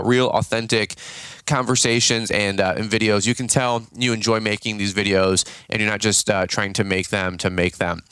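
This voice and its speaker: A man hosting his own podcast in a casual, chatty way, a bit raspy and tired, with many fillers and restarts. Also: fairly low pitch, fairly bright tone, no echo. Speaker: podcast host. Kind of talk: casual solo podcast talk.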